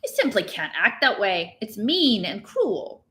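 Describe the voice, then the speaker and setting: A fiery storybook innkeeper's wife voiced by a woman reading aloud, bright and cutting. She is brusque and indignant, a woman who snaps and scolds. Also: medium pitch, very animated, unhurried. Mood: angry. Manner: brusque, snapping. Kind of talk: expressive storybook read-aloud.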